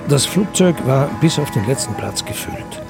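A man's voice: mid-range voice, big pitch swings, normal speed, gravelly, loud music behind the voice. A man reading scripted narration for a radio crime drama in a dry storytelling manner.

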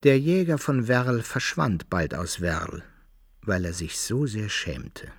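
Husky, creaky storytelling adventurer. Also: male voice, fairly low pitch, animated, slow, no echo, studio quality, full character voice. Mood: recounting. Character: worldly adventurer recounting his own exploits. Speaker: first-person narrator. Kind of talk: literary radio play narration.

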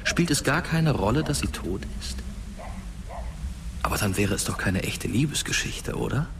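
A man's voice: mid-range voice, animated, moderate pace, noisy background, natural voice. An actor playing a dead teenage boy who narrates a radio drama in a wistful, reflective, intimate monologue. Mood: brooding. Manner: reflective, rhetorical questions. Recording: music under the voice. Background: prominent music.

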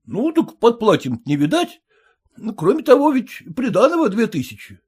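A chatty village schoolteacher teases an old friend in a storybook reading, as a male narrator puts him on. His voice sits high and swings widely. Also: wildly swooping pitch, normal speed, darkish tone, husky, exaggerated voice. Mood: teasing. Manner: teasing, talkative.

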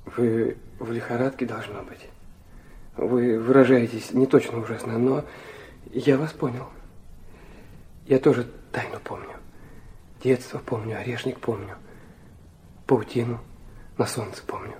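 An actor playing an intense, proud young man weakened by illness, narrating and speaking earnestly and haltingly in a literary audio drama. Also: mid-range voice, steady tone, mellow, slight background noise, natural voice. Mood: skeptical, earnest. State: weak from illness.

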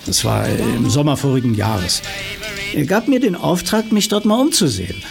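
A man's voice: mid-range voice, lively intonation, moderate pace, vocal fry, over music. An actor playing a matter-of-fact male thief giving his account in a radio play. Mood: matter-of-fact, confiding. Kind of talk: German radio play acting.

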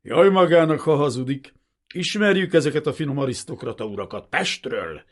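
A man's voice: on the high side, expressive, pitched above the performer's own voice. A man voicing a cruel, sneering young Soviet lieutenant who snarls and barks with contempt in a storybook reading. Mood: snarling, vengeful.

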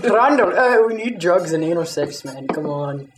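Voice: medium pitch, animated, normal speed. A prank phone caller talking in a goofy, profane way. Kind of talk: crude prank phone calls. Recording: echoey lo-fi phone prank recording, music behind.

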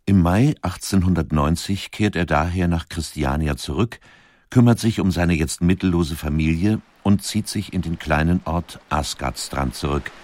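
A man narrating a scripted biographical documentary in a measured, matter-of-fact manner. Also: deep voice, darkish tone, no room sound.

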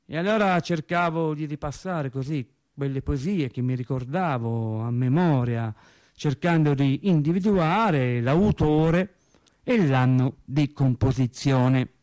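A man's voice: fairly high pitch, slow, darkish tone. A man with a Tuscan accent playing an embittered, rambling factory worker in a theatrical monologue, correcting himself and repeating phrases as he goes. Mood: wry, rambling. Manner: digressive, self-correcting, repeating phrases for emphasis. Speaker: actor in a stage monologue for radio theatre. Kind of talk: theatrical monologue, colloquial storytelling.

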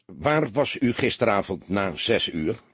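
A man voicing a young male detective in an audiobook, an awkward but insistent questioner who speaks haltingly. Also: fairly low pitch, sing-song, slightly rough, no echo, full character voice. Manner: halting, pressing, slightly apologetic.

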